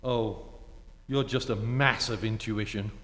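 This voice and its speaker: A man's voice: on the low side, steady tone, fairly bright tone. A man playing a suave, teasing, sardonic ex-husband in a staged vintage radio comedy. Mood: mocking. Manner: sardonic, mock-gallant, unhurried. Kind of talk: staged 1940s-style radio comedy acting. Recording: echoey theatre hall recording.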